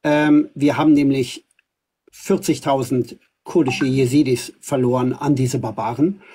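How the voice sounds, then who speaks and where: A man's voice: medium pitch, fairly even intonation, a little slow, warm tone, breathy edge. A middle-aged man from Iran arguing assertively in a live debate, with frequent fillers, filmed by a phone camera up close. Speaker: livestream host. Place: indoors before a green screen.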